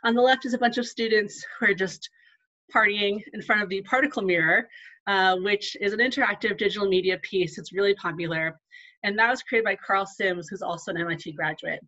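A woman's voice: mid-range voice, brisk, bright, forceful tone. Conversational woman presenting, lo-fi audio. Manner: conversational, with frequent ums and asides. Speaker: museum archivist. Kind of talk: presenting a live online talk.

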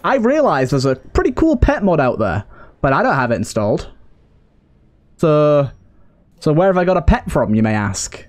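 A man's voice: fairly high pitch, very animated, normal speed, pauses often. A man giving casual let's play commentary, pleading with and exclaiming at the game characters as he talks. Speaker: gaming video host and player.

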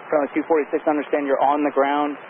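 A male air traffic controller with a slightly high voice speaks over a narrowband radio with light noise. His delivery is clipped, composed and procedural, like standard tower phraseology. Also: little pitch movement, fast. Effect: aircraft radio.

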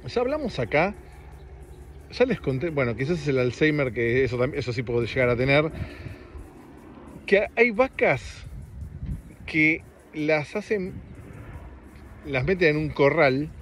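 A middle-aged man with an Argentine accent and a slightly raspy voice, hosting a casual, rambling podcast in a joking, self-deprecating way. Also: fairly high pitch, animated, a little slow, long pauses, fairly bright tone, slight background noise. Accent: Argentine Spanish. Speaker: podcast host. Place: outdoors on a street.

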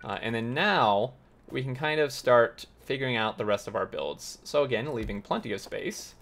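A man with a gravelly, slightly raspy voice, worn from losing it, walks through a game build step by step. He thinks aloud with frequent uhs at a mid pitch, and keyboard typing clicks behind him. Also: moderate pace, a bit bright. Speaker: gaming tutorial host. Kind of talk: explaining gameplay while playing.

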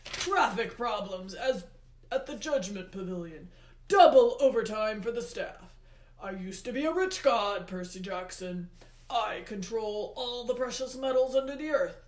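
A woman voicing a furious, imperious male god of the underworld for a storybook, with booming, emphatic, drawn-out words. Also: animated, lightly acted. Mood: exasperated ranting. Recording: roomy, echoey indoor sound.

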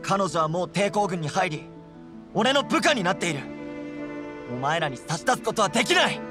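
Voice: mid-range voice, sing-song, moderate pace, natural voice. A performer playing an earnest, loyal and fiery young male resistance general in an anime game, speaking resolutely. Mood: firm, protective. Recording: prominent music under the voice.

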